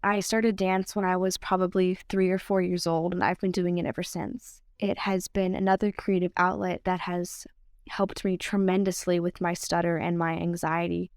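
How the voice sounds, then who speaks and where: A woman's voice: fairly low pitch, little pitch movement, normal speed, clean tone, dry recording, clean studio sound. A seventeen-year-old girl from Ohio with a mild stutter, telling her own story in a candid, reflective way. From Columbus, Ohio, United States. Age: teen, 17. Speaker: student storyteller. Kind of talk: personal narrated story.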